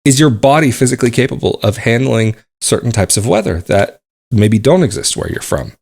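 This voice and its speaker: A man's voice: medium pitch, sing-song, fairly quick, creaky, a little mellow. A man hosting his own podcast, talking casually and at length, often restarting and hedging his points. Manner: conversational, rambling, many restarts and qualifiers. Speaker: podcast host. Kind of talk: casual solo podcast monologue.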